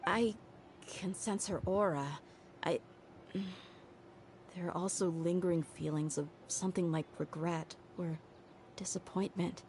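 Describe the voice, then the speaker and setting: A woman's voice: on the low side, steady tone, slow pace, long pauses, a little mellow, slight background noise. A woman streamer reading video game dialogue aloud.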